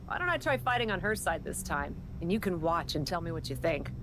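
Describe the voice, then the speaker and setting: A lowish, hard-edged voice for a ruthless woman wrestler who runs her faction. She is curt and abrupt in game cutscene style, with clear noise behind her. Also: sing-song, fairly quick. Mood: cool, decisive. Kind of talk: video game cutscene dialogue.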